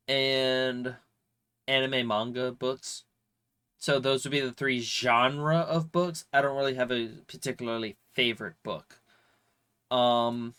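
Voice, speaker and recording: A man's voice: medium pitch, sharp tone. A man with a slight cold and a stuffy, nasal voice, rambling casually with lots of fillers and swearing as a streamer answering viewer questions. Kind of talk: casual chat.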